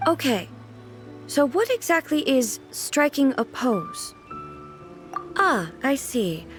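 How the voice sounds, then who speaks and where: A woman playing a dignified but naive ruler goddess in a video game, speaking in a measured, formal, pensive way. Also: high-pitched, big pitch swings, exaggerated voice. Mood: bashful, puzzled. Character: dignified, naive female ruler deity, bashful and earnestly puzzled. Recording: game music behind the voice. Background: game music.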